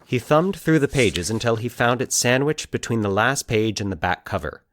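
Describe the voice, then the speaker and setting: A man narrating a scripted, eerie fiction story as an expressive storyteller. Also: mid-range voice, moderate pace, no echo, studio quality.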